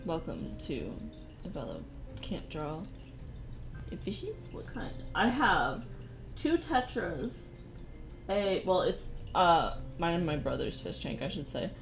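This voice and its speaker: A young woman chatting casually on a livestream, soft-spoken and rambling, often laughing. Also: fairly low pitch, sing-song, slow pace, vocal fry. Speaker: streamer. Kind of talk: casual livestream chat while drawing. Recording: faint music behind. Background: faint background music.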